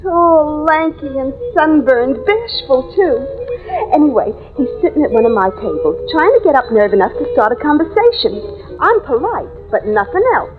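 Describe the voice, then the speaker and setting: An actress playing an excitable, gossipy young New York waitress in an old-time radio drama. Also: female voice, sits high, normal speed, phone-quality sound. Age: young adult. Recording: thin old radio recording, music behind.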